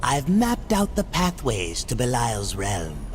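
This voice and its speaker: Slow, swooping demon merchant. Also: male voice, mid-range voice, wildly swooping pitch, slight background noise. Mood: scheming. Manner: drawn-out, theatrical, oily. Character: scheming otherworldly demon merchant, male, greedy and cunning. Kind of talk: dramatic video game cutscene acting.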